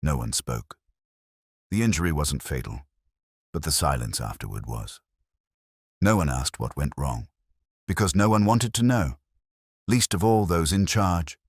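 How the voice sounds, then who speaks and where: A very low-pitched man narrates a scripted story. His delivery moves up and down in pitch, and the recording is dry and close. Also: expressive, measured pace, long pauses, dry recording. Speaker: audiobook narrator.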